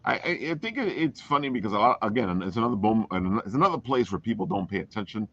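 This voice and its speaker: A man hosting a casual chat show in an excitable, folksy way, addressing listeners directly. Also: fairly low pitch, fast talker, no echo. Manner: excitable, talks to listeners as folks, laughs often. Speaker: podcast host. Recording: dull lo-fi call audio.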